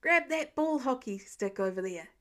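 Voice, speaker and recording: A woman's voice: high-pitched, expressive, moderate pace, fairly bright tone. A woman voicing a competitive young boy in a story, with a smug, brash manner. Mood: urgent.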